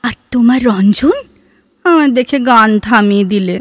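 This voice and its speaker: A woman's voice: on the high side, animated, moderate pace, no room sound. An actress playing a poised, restrained young literary woman in a Bengali audio drama, lyrical and knowing. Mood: gently teasing. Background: background music.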